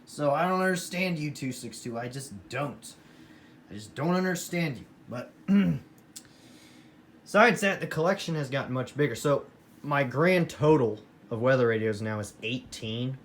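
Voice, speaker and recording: Casual American male hobbyist vlogger, mid-pitched, informal and enthusiastic, rambling delivery, noisy room recording. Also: moderate pace. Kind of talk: casual rambling show-and-tell of a radio collection. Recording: noisy room with clear background noise.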